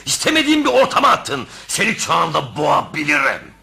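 A man's voice: on the high side, wildly swooping pitch, brisk, gravelly, bright, forceful tone, soft background music, exaggerated voice. A man playing a bitter, aggrieved friend turned enemy in a radio play, accusing and theatrical. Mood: bitter reproach.

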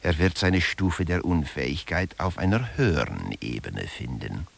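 A man's voice: a bass voice, sing-song, moderate pace, gravelly, heavily acted. A man playing a pedantic professor in a satirical radio play, summing up findings with emphatic, scripted delivery. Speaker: lecturer. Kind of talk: scripted satirical lecture narration.

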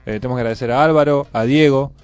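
A man's voice: medium pitch, very fast, darkish tone, clean tone, soft background music. A man with a Buenos Aires accent, casually chatting as a radio host. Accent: Buenos Aires Argentine. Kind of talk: casual chat introducing a segment.

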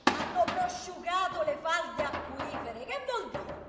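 A woman's voice: extremely high pitch, wildly swooping pitch, slow, over loud music, full character voice. An actress playing a naive, chirpy woman in a theatrical radio monologue, exclaiming as if answering someone unheard. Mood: flustered. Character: naive, chirpy woman cheerfully defending high-speed rail. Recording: distant, echoey hall with loud noise.

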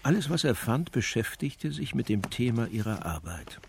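A man's voice: mid-range voice, big pitch swings, normal speed, creaky, a little hiss or hum. A male actor playing the sober, matter-of-fact narrator of a detective story in a scripted radio play.

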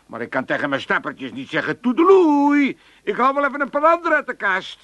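A man's voice: very high-pitched, very animated, pushed and bright, no room sound, lo-fi sound, heavily acted. An actor playing a lazy, cheeky old father with an Amsterdam accent in a comedic radio play. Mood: cheeky, grumpy. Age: older. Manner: complaining, drawn-out exclamations.

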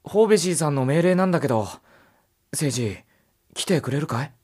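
A woman as a husky, awkward young man. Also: sits low, lively intonation, a little fast, darkish tone. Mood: coaxing. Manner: hesitant, casual, apologetic. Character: easygoing, awkward young male master of the mansion. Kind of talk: anime-style audio drama acting.

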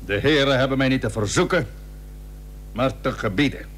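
A man playing an old, proud and plain-spoken Dutch admiral in a historical radio drama, formal, measured and firm. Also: mid-range voice, a bit bright, natural voice. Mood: proud, grave. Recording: noisy old radio recording with hum.

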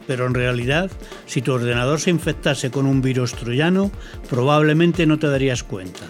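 A man's voice: medium pitch, normal speed, faint music behind. A man with an accent from Spain co-hosting and explaining things in a scripted, didactic but conversational way. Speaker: co-host commentator, explainer.

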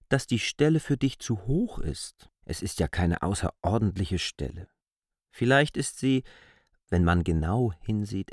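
Radio drama lead: an insistent, articulate reasoner, lowish pitch, very lively intonation, deliberate argument. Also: male voice, dry recording, clean studio sound. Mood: reasoning, self-searching. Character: K., coolly reasoning, insistent man lecturing a barmaid. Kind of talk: literary radio play acting.

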